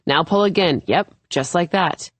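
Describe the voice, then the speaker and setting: A woman's voice: on the low side, measured pace, no room sound. An easygoing man , as voiced by a woman narrator, coaches a beginner at sailing in audiobook dialogue. He is patient, encouraging and lightly teasing, and the sound is dry. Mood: coaching, upbeat.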